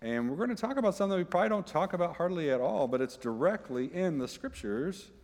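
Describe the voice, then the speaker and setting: A man's voice: high-pitched, big pitch swings, fast talker, darkish tone, in a large hall. A man preaching a sermon to his congregation, chatty and joking, often asking the listeners questions. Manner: conversational, jokey, asks the congregation questions. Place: church sanctuary.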